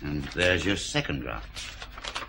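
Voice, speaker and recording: An actor playing a matter-of-fact police forensic superintendent in a radio detective drama. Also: male voice, very deep voice, expressive, slow, creaky, sharp tone, slight background noise, exaggerated voice.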